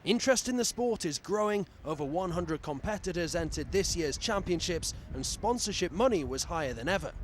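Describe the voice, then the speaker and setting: A man's voice: high voice, lively intonation, fairly quick, few pauses, a little hiss or hum. A British man narrating a scripted television sports news report.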